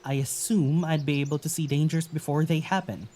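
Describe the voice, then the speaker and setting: An actor playing a gentle, wary young traveler in a naturalistic audio drama, speaking in a hesitant, musing way. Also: mid-range voice, fairly even intonation, fairly quick, natural voice. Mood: wary, curious.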